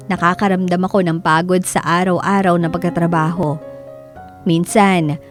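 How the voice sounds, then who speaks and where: A humble Filipino working woman full of self-doubt, played by an actress, in radio drama style. She speaks hesitantly at a middle pitch, with music playing behind her. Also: lightly acted. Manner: hesitant, humble, soft hums before answering. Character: self-doubting working woman who quit college to support siblings. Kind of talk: Filipino radio drama acting with reflective narration.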